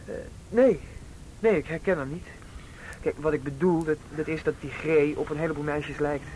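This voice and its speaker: A man's voice: steady tone, normal speed, darkish tone, lightly acted, rough recording. An actor playing a polite seventeen-year-old schoolboy in a radio drama, guarded and hesitant, choosing his words carefully. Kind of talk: Dutch radio drama acting. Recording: radio play with faint street rumble.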